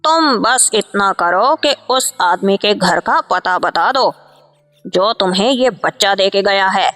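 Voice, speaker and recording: A woman plays a shrewd, grasping hunter from a cartoon tale, a man pressing a bargain. The voice is mid-pitched and slow, over faint music. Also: animated, fairly bright tone. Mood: calculating. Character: shrewd, grasping male hunter striking a deal.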